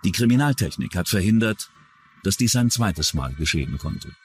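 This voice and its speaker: A man's voice: deep voice, big pitch swings, moderate pace, soft background music. A man delivering scripted true-crime documentary narration as the narrator.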